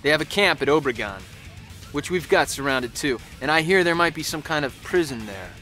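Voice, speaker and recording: A man's voice: on the high side, lively intonation, fairly quick, sharp tone. A man playing a weary soldier, a family man, narrating his war in a game cutscene. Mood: determined. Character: weary American male soldier, husband and father, recounting combat. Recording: music laid behind the voice.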